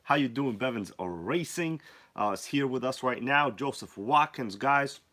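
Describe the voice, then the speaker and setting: A sick man hosts a casual live stream, his voice worn down by a cold. He jokes around and greets viewers by name in a bright, forceful tone. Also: mid-range voice, animated, unhurried.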